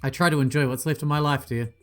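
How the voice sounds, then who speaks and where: A man's voice: on the high side, fairly even intonation, fast talker, faint music behind, lightly acted. A man voicing an elderly nun in a game, with a dry, teasing manner. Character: elderly nun, wry and unbothered. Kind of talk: reading game dialogue aloud.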